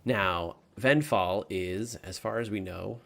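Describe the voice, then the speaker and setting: A man's voice: lowish voice, slow pace, vocal fry, fairly bright tone. A man speaking informally and chattily as a game master, narrating and commenting on a tabletop campaign.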